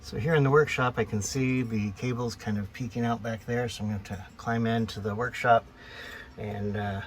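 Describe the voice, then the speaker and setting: A man's voice: mid-range voice, fairly even intonation, moderate pace, slight background noise. A man giving a casual, matter-of-fact how-to explanation to camera as a vlogger, working in a cramped space. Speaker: boat DIY vlogger. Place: cramped interior of a boat in a yard.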